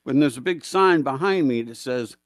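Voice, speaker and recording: A man with a deep, projecting voice chatting casually on a remote call, apologetic and self-deprecating. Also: lively intonation, normal speed. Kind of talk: casual chat.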